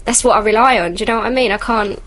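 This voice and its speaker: A woman's voice: on the high side, racing, fairly bright tone. A woman, a singer chatting informally and explaining things as a guest on a radio show. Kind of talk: casual radio chat with vocal demonstrations. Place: community radio studio.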